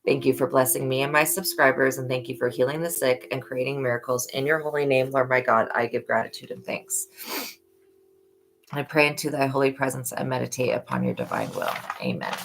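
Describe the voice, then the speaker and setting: This is a woman with a strikingly deep voice and a hoarse edge. She hosts a live-stream tarot reading, offering earnest, motherly reminders and turning preachy when she speaks of faith. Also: animated, normal speed, slight background noise, poor audio. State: hoarse from yelling. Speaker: tarot reader.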